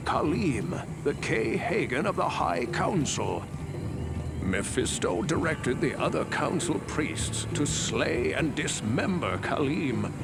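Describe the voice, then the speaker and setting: An actor playing a wise, solemn elderly sage in a fantasy game, with a gravelly voice and deliberate delivery. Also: male voice, medium pitch, heavily acted. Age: older. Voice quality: gravelly, creaky. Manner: slow, deliberate, portentous. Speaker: game character giving lore. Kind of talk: fantasy game narrative dialogue. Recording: loud game music underneath. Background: loud background music.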